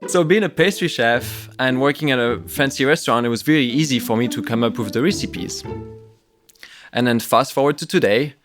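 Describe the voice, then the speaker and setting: A man from France with a southern French accent, pitching his startup to investors in an earnest, slightly halting way. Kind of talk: business pitch and conversation.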